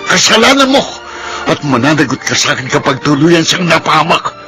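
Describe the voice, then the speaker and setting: A vengeful Filipino accuser spits threats in radio-drama style. His voice is raised in pitch and cuttingly forceful, with soft music behind. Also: sing-song, fairly quick. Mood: furious, threatening.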